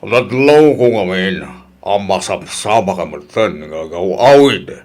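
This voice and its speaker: An actor playing a concerned, affectionate middle-aged father in a radio drama, gravelly and expressive, with a Filipino accent. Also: deep voice, takes it slowly. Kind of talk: Ilocano radio drama acting.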